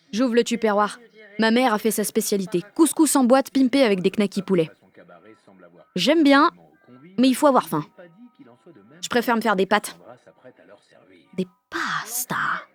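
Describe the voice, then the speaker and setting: High-voiced brash teenage girl. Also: a bit bright. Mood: wry. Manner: slangy, foul-mouthed, self-mocking. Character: brash sixteen-year-old girl, lovestruck, sarcastic, dramatic. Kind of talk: first-person audio fiction narration with acted dialogue.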